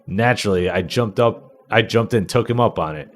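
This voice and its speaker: A man's voice: lowish voice, fairly quick, lightly acted. A man playing an affable, persuasive property seller in a radio play, reasoning and coaxing. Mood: earnest, persuasive.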